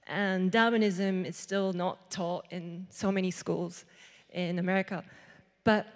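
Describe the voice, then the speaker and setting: A woman's voice: mid-range voice, a little mellow. A young woman from Japan, an artist giving a conference talk into a handheld microphone, chatty, with many "like"s and restarts. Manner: chatty, lots of likes and restarts. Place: large hall with seated audience. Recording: long hall reverb.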